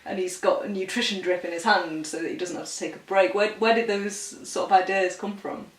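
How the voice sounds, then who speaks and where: A woman's voice: mid-range voice, fairly even intonation, fairly quick. Woman interviewing in an echoey room. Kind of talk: casual interview chat.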